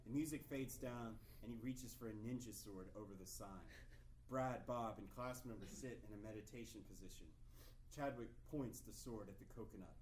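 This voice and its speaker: A man's voice: on the low side, a little mellow. A man reading stage directions aloud at a script table read. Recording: echoey room, distant mic, faint noise.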